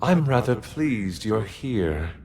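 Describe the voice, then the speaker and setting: A slow, condescending evil emperor. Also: male voice, fairly low pitch, sing-song, darkish tone, slight background noise, exaggerated voice. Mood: smug menace. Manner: slow, mocking menace. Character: sinister, condescending possessing Emperor spirit. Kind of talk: video game villain acting.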